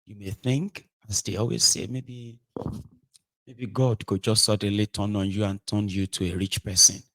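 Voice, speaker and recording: A Nigerian man in his forties giving an impassioned political monologue, emphatic and rhetorical, jumping between loud outbursts and quiet asides. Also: fairly low pitch, little pitch movement, moderate pace, rough recording. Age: 40s. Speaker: political commentator.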